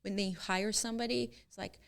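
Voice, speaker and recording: A middle-aged woman from Israel, a company co-founder, talking earnestly and in a measured way as a guest in a casual interview. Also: mid-range voice, steady tone, vocal fry, a bit bright.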